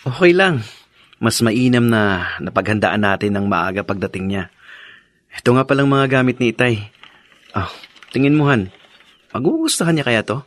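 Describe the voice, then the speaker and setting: A male actor plays a dutiful grown Filipino son in a radio drama. He has a medium-pitched voice and talks politely, with earnest concern for others. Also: animated, normal speed, pauses often, close to an everyday voice. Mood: coaxing. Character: dutiful, caring grown son and young husband.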